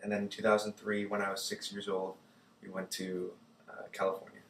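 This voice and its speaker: Young German-born man, low and flat. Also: brisk, roomy sound, far from the mic, slight background noise. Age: young adult. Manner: relaxed, many fillers like "like" and "uh". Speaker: interviewee. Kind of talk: casual personal storytelling.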